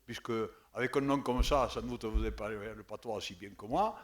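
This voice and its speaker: A man speaking as a councillor in a town council meeting, halting and repeating words, his voice often dropping away at the ends of phrases. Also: on the low side. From southern France. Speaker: municipal councillor.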